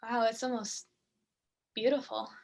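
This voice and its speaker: A woman's voice: a little high, little pitch movement, a little slow, creaky. A young woman acting on stage as a morbidly curious girl on a date, speaking casually and a little hesitantly. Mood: entranced. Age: young adult. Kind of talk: student stage acting, naturalistic dialogue.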